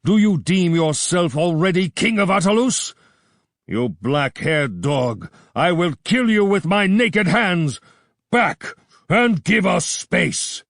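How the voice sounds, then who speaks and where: A man voicing a huge, menacing king who is brooding and quick to roar in rage. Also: a little high, big pitch swings, no echo, studio quality, heavily acted. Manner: roaring when enraged.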